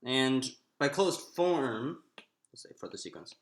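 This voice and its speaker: A man teaches mathematics informally in a roomy, echoing space. His pitch moves around in a lively way as he works things out aloud. The delivery is halting, with stretched pauses between phrases. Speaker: math lecturer. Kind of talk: informal lecture, working through a derivation aloud. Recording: roomy, echoing room sound.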